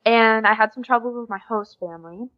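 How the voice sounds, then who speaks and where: A woman's voice: a little high, brisk, highs cut off. A young American woman chatting casually and openly as a guest in an interview. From USA. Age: young adult. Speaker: podcast guest, exchange student.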